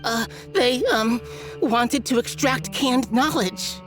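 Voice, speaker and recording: A woman's voice: on the high side, slow, faint music behind. A woman playing a nervous captured female underling in a video game, anxious and hesitant.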